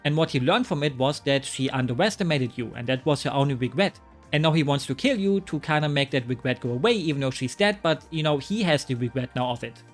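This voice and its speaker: A man's voice: medium pitch, expressive, quick pace, soft background music. A man narrating a scripted game review with wry, joking asides. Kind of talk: scripted commentary.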